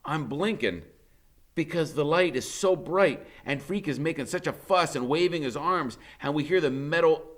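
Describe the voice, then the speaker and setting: A man's voice: a little high, moderate pace. A man reading a novel aloud as dramatic first-person narration, emphatic and suspenseful.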